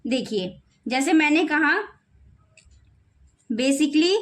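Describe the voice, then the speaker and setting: High-voiced woman teaching English slowly. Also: animated. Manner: slows down sharply to model English phrases word by word; repeats phrases and keeps saying "okay, children". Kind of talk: classroom-style lesson in Hindi.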